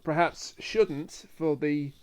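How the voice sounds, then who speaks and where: A man's voice: a little high, steady tone, slow pace, smooth. A man chatting casually as a reviewer on a discussion podcast.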